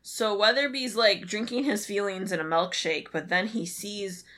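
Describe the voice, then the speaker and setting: A woman co-hosting a show, casually recapping a story in an animated way and acting out bits of dialogue. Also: medium pitch, moderate pace. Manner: animated, acts out characters' lines in retelling. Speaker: podcast co-host. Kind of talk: casual episode recap chat.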